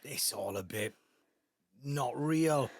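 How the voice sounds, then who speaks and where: An actor playing a sullen, blunt, resentful middle-aged son with a Welsh accent in a naturalistic audio drama. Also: male voice, medium pitch, lively intonation, slow, no echo, clean studio sound. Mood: resentful, confrontational.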